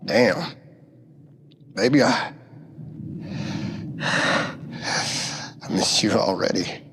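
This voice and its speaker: A man's voice: lowish voice, expressive, drawn-out words, fairly bright tone, heavily acted. A man playing a grieving space traveller, speaking tenderly in a science fiction TV drama. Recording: TV show clip with noisy ambience.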